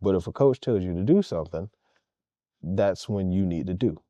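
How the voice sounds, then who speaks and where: A man's voice: low-pitched, animated, normal speed, dark tone, no room sound, lo-fi sound. A man, a head basketball coach, answering interview questions earnestly and emphatically, repeating his key points.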